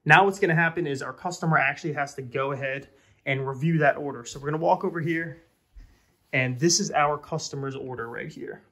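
A man's voice: fairly high pitch, brisk, pushed and bright. A man presenting an informal tutorial, explaining a product step by step and talking directly to viewers. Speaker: presenter of a restaurant equipment tutorial.

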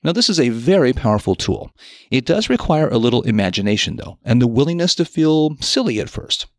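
A man's voice: medium pitch, sing-song, brisk, no room sound, clean studio sound. A man reading a scripted self-help article as narrator, with an emphatic, instructive, carefully phrased delivery.

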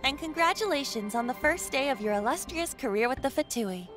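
Poised, loyal masked female clerk in a game; high, melodic, formal, music underneath. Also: animated. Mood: solemn, assured. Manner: formal, measured, reverent. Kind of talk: video game character voice acting.

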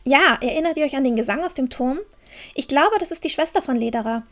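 A woman's voice: high voice, fast, fairly bright tone. A woman playing an earnest, pragmatic young girl adventurer in a fantasy audio drama, explaining and pleading. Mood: earnest, urgent. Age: young adult. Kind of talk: fan-made fantasy audio drama acting.

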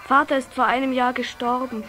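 A woman's voice: high voice, flat delivery, a little fast, pushed and bright, faint music behind. A woman playing a shy, poor little flower-selling girl in a children's radio play. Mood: sad, plain.

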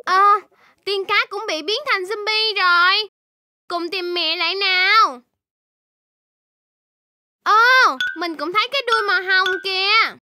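A woman's voice: extremely high pitch, unhurried, pauses often, sharp tone, full character voice. A woman voicing a young baby shark in a children's cartoon, sweet, earnest and easily frightened, speaking straight to young viewers. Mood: earnest, pleading. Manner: exclamatory, addresses young viewers directly.

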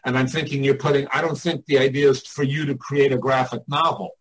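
A man's voice: mid-range voice, fairly even intonation, brisk, husky, rough recording. An older man giving informal one-on-one coaching in a blunt, emphatic way, thinking aloud as he goes. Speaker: artists' coach and mentor.

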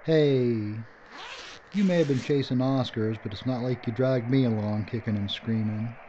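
Radio horror casting: actor as blunt, doomed filmmaker guy, medium pitch, wry, noisy background. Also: normal speed, muffled recording, lightly acted. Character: Ike, wry, fatalistic male filmmaker making last stand. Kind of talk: radio horror drama acting.

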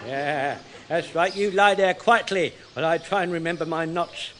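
An actor playing a belligerent, confused old British wartime soldier in a radio comedy, blustering and excitable. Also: male voice, on the high side, moderate pace, natural voice. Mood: suspicious, gruff. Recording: faint noise on old radio recording.

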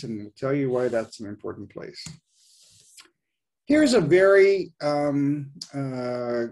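Male, sixties, somewhat higher voice, explaining things as a presenter in a relaxed online lecture, stressing the start of phrases. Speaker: presenter, science writer giving a nature talk. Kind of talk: informal slide lecture over video call. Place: indoors at home, on a video call.

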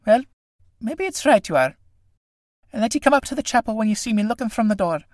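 A woman plays a jittery country priest, a man who coaxes and dodges in a lively, rising voice. The sound is dry and close. It suits a read-aloud stage play. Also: on the high side, brisk, no echo, voice pushed up, lightly acted. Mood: hurried. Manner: uneasy, coaxing. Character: nervous, evasive middle-aged village priest, male.

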